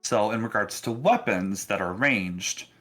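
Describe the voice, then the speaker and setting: A man with a fairly low but bright, forward voice runs a tabletop role-playing game. He narrates off the cuff in a casual, halting way, pausing often. Music plays behind him.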